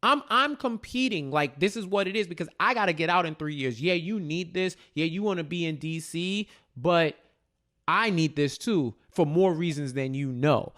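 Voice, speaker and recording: A man's voice: on the high side, moderate pace, fairly bright tone. A man co-hosting a casual TV recap, talking in slang, repeating phrases as he thinks and often checking in with "right?". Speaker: podcast co-host.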